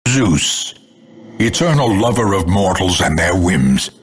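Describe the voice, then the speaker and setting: Game announcer: grim gothic fantasy narrator, very deep register, unhurried and portentous, theatrical dark grandeur. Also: male voice, big pitch swings, slightly rough, full character voice. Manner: grandiose, deliberate, theatrical, ominous delivery.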